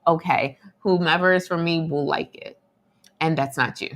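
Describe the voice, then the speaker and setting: A woman's voice: deep voice, steady tone, moderate pace. A woman in her twenties co-hosting a podcast, blunt and emphatic in her opinions. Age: 20s. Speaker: podcast co-host. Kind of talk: casual commentary chat.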